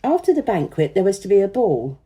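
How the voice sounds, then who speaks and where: A woman reads a children's story with expressive narration. Her tone is very soft and mellow, and her pitch moves in lively sweeps. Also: fairly quick.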